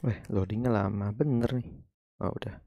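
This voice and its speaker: An Indonesian man livestreaming a game with casual, offhand reactions in a husky voice. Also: on the low side, fast talker, mellow.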